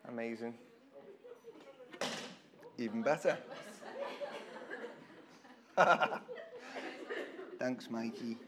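A man with a hoarse, croaky voice preaching an informal church sermon to a congregation, talking in a chatty way and often repeating his words. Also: medium pitch, drawn-out words, pauses often, background noise. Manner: conversational, self-deprecating, repeats phrases.

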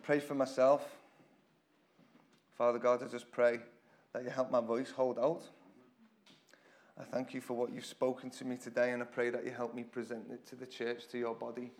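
Croaky-voiced man preaching a sermon. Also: medium pitch, flat delivery, normal speed, a little mellow. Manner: conversational, self-deprecating, repeats phrases. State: hoarse, croaky voice. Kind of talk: informal church sermon. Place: church hall with congregation.

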